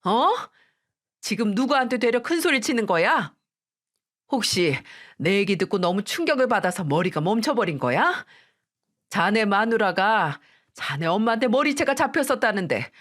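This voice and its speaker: A woman's voice: fairly high pitch, voice pushed up. A woman voicing an angry older mother who threatens and scolds her son-in-law in a raised, menacing voice. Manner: shouting, menacing.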